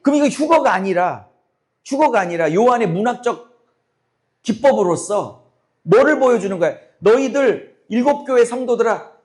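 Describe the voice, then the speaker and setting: Hoarse middle-aged pastor guy, pretty high voice, keeps asking the congregation questions, blunt and punchy. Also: lively intonation, moderate pace, darkish tone. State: hoarse, voice cracking. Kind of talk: sermon.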